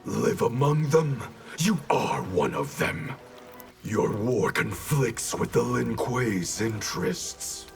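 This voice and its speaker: A scornful cold ninja boss in a video game, creaky voice, faint music behind. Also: male voice, mid-range voice, big pitch swings, slow, full character voice. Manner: stern, scornful. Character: cold, arrogant male ninja clan master.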